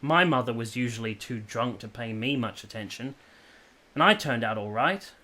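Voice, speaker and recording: A man's voice: mid-range voice, expressive, moderate pace, bright, forceful tone. An actor playing an ardent, stubborn young man in love in a period radio drama, earnest and pleading with formal phrasing. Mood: persuasive. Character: ardent, stubborn young male lover from a village.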